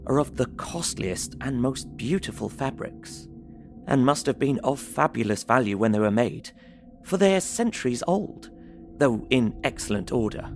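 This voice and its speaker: A man's voice: medium pitch, natural voice. An actor playing a polite, earnest young English solicitor narrating his journal in an audio drama with formal, precise diction.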